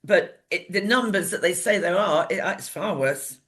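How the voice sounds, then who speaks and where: A woman's voice: on the low side, moderate pace. A woman with a British accent giving her opinions in casual, chatty and emphatic talk.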